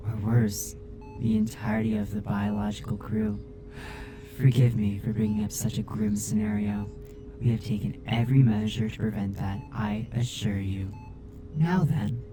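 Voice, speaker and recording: A man voicing a caring robot crewmate in an ASMR roleplay, speaking in a formal, precise, measured way. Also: mid-range voice, sing-song, music in the background. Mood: apologetic, reassuring. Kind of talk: ASMR roleplay audio drama.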